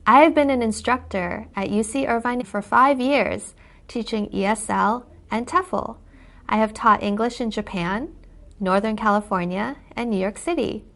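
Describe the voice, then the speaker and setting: Woman instructor, slightly high voice. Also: normal speed, gravelly, a bit bright. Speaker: English instructor. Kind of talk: scripted lesson presentation.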